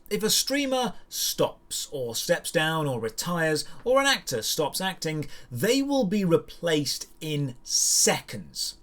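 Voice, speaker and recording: A man delivering scripted opinion commentary as a show host, in an emphatic, rhetorical way with deliberate stresses. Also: fairly high pitch.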